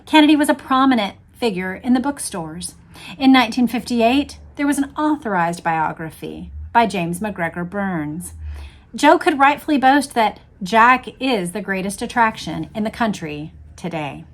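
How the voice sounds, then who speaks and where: A woman hosting an expressive book read-aloud, with engaged casual asides addressed straight to her listeners. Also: mid-range voice, normal speed. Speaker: host reading a book aloud with commentary.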